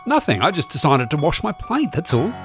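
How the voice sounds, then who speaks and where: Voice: mid-range voice, big pitch swings, brisk, soft background music. An actor playing an exasperated sixteen-year-old boy in a comedy radio play, indignant and insistent. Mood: defensive.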